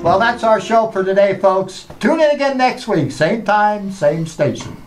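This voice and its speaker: A man announcing an old-time style radio show. His voice sits fairly high and moves with lively inflection. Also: unhurried, over loud music.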